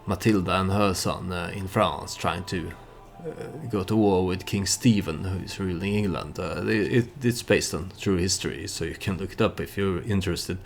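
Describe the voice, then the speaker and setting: A man hosting a let's play, giving storytelling game commentary in a halting way, as if thinking aloud. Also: deep voice, creaky, soft background music.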